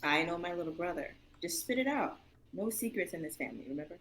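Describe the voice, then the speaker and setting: A woman's voice: fairly low pitch, expressive, fast, fairly bright tone, a little hiss or hum, low-quality recording. A young woman playing an outspoken, protective big sister in an amateur livestreamed drama reading. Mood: firm, reassuring. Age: young adult.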